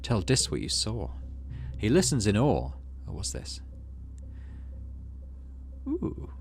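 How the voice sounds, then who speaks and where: A man with a slightly low voice reads and talks through a story-driven game. His intonation is very lively, moving between expressive storytelling and casual player talk. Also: slow, vocal fry, slight background noise. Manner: expressive storyteller reading with asides. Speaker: gaming channel host. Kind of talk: reading game narration aloud with casual commentary.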